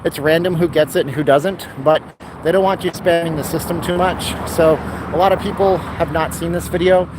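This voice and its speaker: A man's voice: on the high side, steady tone, fairly quick. Guy walking and talking into his phone, dry throaty voice, traffic roaring past. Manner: chatty, rambling, answers live chat comments. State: dry throat, clears it often. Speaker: vlogger live streaming a city walk. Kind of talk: casual live stream chat while walking. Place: outdoors by a busy boulevard. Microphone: handheld phone. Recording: outdoor phone recording with traffic noise. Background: passing cars and street traffic.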